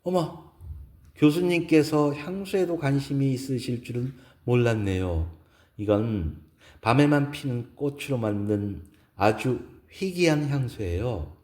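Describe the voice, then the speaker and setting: A man voicing a poised, gracious businesswoman in a romance audio drama, speaking politely and softly with measured elegance. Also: medium pitch, expressive, voice pushed up, natural voice. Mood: coy, wistful. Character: poised, gracious woman executive in a senior romance.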